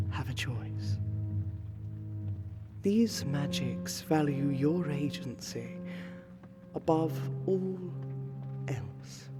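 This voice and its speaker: A woman playing an aristocratic vampire scholar in a fantasy audio roleplay, speaking formally and deliberately with weighty pauses. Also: low voice. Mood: gentle, earnest. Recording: long hall-like reverb under prominent music.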